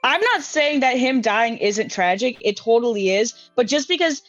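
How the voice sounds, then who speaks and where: A woman's voice: fairly high pitch, animated, normal speed, dry recording, faint music behind. A woman in a tabletop game talks emphatically, laughing often and swearing freely. Her voice sounds dry and close, with dull, lo-fi audio. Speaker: player. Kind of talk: casual tabletop roleplay.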